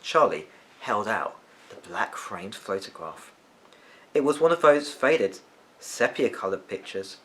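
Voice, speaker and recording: Animated male storyteller. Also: fairly low pitch, unhurried. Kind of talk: expressive children's audiobook-style narration.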